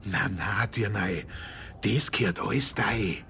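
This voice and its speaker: A man's voice: on the low side, slow pace, creaky, sharp tone, slight background noise, full character voice. An actor playing a kindly old rural grandfather in a radio play, with a Bavarian accent. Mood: gentle, reassuring. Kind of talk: German radio play acting.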